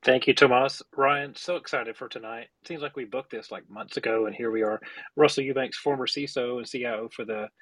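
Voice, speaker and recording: A man's voice: medium pitch, steady tone, brisk, a bit bright, no room sound, rough recording. A man, a moderator of a casual chat, upbeat and friendly while introducing himself.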